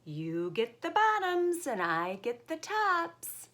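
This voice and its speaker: A woman voicing a clever, chipper male hare in a children's storybook, eager and persuasive as he pitches to his neighbor. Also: huge pitch swings, takes it slowly, fairly bright tone, slight background noise, heavily acted.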